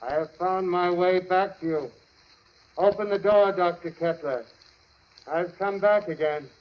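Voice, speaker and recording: An actor playing an eerie dying patient back from the dead in a radio horror drama, calling out in a measured, ghostly way. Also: male voice, high-pitched. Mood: eerie urging. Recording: muffled radio drama recording.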